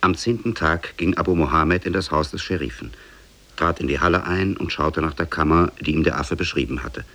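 A man narrates a tale in the manner of 1950s radio. His voice is extremely deep, husky and full of fry. The delivery is measured and solemn. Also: fairly bright tone. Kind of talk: scripted storybook narration, 1950s German radio.

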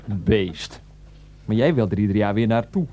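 Frustrated husband, swooping intonation. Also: male voice, on the low side, expressive, normal speed, dark tone, dull audio. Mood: exasperated. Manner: hesitant, grumbling, pretentious. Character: frustrated, educated city husband, dreamy and conflict-shy. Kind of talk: radio play acting.

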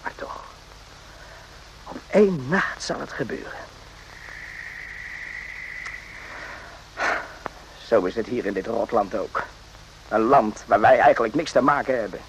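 An actor in a radio play voicing a brooding, rambling soldier who muses haltingly and repeats himself. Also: male voice, on the low side, expressive, a little hiss or hum, low-quality recording. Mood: brooding, uneasy. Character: brooding, rambling soldier, former circus night watchman.

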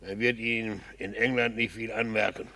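A man's voice: fairly low pitch, fairly even intonation, normal speed, creaky, sharp tone. A man in a radio drama playing a cheeky, earthy peasant gravedigger who drawls colloquially and quibbles. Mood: cheeky, quibbling. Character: cheeky male gravedigger, earthy peasant clown, singing at work. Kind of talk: classical radio drama acting.

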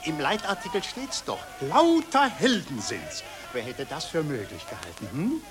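A man's voice: on the high side, very animated, normal speed, music in the background. An actor with a Viennese accent playing a suspicious, sarcastic Viennese man in a street crowd. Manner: sneering, insinuating. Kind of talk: 1960s radio drama acting.

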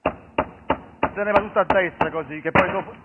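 A man trading casual, quick banter on stage as he helps out the lead actor. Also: high-pitched. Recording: echoey hall, thin noisy phone-like sound.

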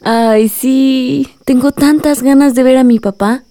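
A woman's voice: high-pitched, steady tone, a little slow. A Mexican woman playing an earnest young daughter in a radio soap opera. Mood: longing. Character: earnest young daughter pleading with her mother.

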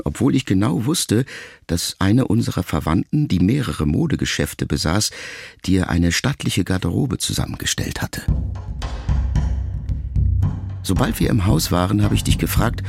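An actor playing a middle-aged writer son who addresses his mother in a measured, introspective, quietly reproachful radio monologue. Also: sits low, vocal fry, slightly rough, dry recording, full character voice. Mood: restrained reproach. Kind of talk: literary monologue in a radio play.

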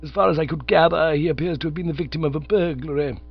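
A man's voice: fairly high pitch, fast, creaky, voice pushed up. A man voicing a stern, pompous middle-aged aunt who lectures loftily and stalls over delicate words. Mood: imperious. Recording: slightly dull lo-fi recording.

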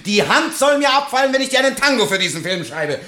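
Sprunghaft betonender, empörter Filmkomponist. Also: male voice, sits high, very animated, fast talker, bright, forceful tone, heavily acted. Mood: indignant. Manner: emphatic, lamenting, protesting. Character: indignant, theatrically suffering film composer. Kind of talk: radio play dialogue.

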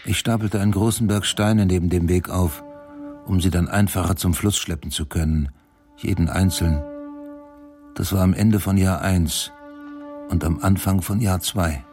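A man's voice: low-pitched, fairly even intonation, normal speed, a little mellow, faint music behind, lightly acted. An actor playing a weary, devoted, hard-toiling father in a radio drama monologue.

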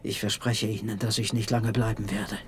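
An actor playing a desperate, haunted middle-aged hatter in a German radio play, brooding and pleading in an intimate way. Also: male voice, fairly low pitch, flat delivery, moderate pace, slight background noise, close to an everyday voice. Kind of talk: German radio play acting with inner monologue.

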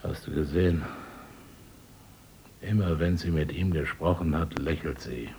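A man's voice: extremely low pitch, unhurried, darkish tone. An older actor playing a resentful miller, a former journeyman who grumbles and hesitates.

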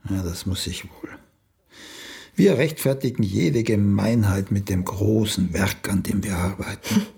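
An actor playing a proud, celebrated old playwright in a radio play, blunt, sardonic and dismissive. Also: male voice, on the low side, a little slow, vocal fry, dark tone. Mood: wry, musing. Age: older.

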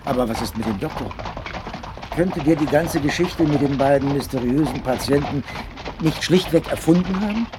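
An actor playing a detective's questioning, mildly sceptical companion and narrator in a German radio drama, in a measured, inquiring manner. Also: male voice, fairly high pitch, lively intonation, noisy background, lightly acted.

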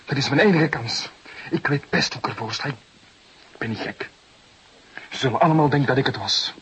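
An actor playing a desperate, defensive young murder suspect of about twenty, nervy and halting under interrogation in a crime drama. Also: male voice, mid-range voice, a bit bright, poor audio. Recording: clearly hissy background noise.

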